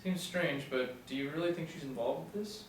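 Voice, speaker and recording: A man's voice: fairly high pitch, moderate pace, close to an everyday voice. A man reading the part of an eager FBI agent, deferential to his boss, at a table read of a TV pilot. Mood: questioning. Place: small meeting room, seated in a row. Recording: echoey room, distant mic, faint noise.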